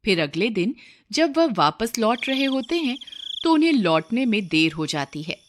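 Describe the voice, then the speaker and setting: A woman's voice: a little high, sing-song, normal speed, noisy background. A woman storyteller reading a scripted moral tale as narration.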